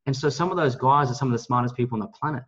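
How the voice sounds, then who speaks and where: The sound is a little dull and lo-fi, like a recorded call. A man with a mid-pitched voice delivers a motivational business pitch. He is emphatic and blunt, selling hard and not shy of a mild curse. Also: rapid-fire, fairly bright tone. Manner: emphatic, blunt, salesy, mildly profane. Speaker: network marketing leader, guest speaker on a team call.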